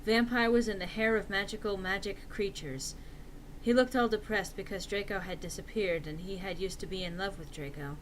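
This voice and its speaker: A woman's voice: mid-range voice, fairly even intonation, normal speed, a little hiss or hum, rough recording. A woman narrating a comedic dramatic reading of fan fiction, with theatrical, exaggerated emphasis.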